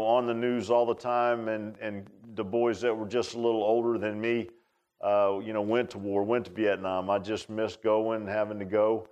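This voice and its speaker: Clear-voiced older American preacher, halting delivery. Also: male voice, lowish voice, a little mellow, dull audio. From United States. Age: 60s. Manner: halting, folksy, conversational delivery with repeated words. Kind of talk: informal sermon. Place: speaking in a church.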